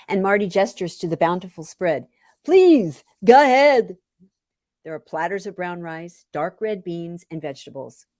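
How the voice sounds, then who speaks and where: A woman reading her own first-person story aloud with wry, deliberate, dramatic phrasing. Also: fairly low pitch, darkish tone, no room sound, clean studio sound.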